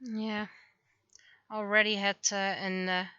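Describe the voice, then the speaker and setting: A woman livestreamer chatting casually and venting in an animated, exasperated way, acting out imagined exchanges as she goes. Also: mid-range voice, slow pace, smooth, no room sound, muffled recording. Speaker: livestreamer, webcomic artist. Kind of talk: casual livestream chat, ranting.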